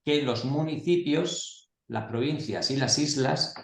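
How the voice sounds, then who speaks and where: A man's voice: medium pitch, takes it slowly, gravelly. A man lecturing a class of local-government staff, asking and answering his own questions in a conversational way. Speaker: course instructor. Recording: echoey classroom.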